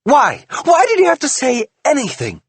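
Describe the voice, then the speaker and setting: A reader voicing a furious, betrayed wife who yells and shrieks in a high, strained voice, in audiobook dialogue. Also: huge pitch swings, normal speed, no room sound. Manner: shrieking, yelling in rage.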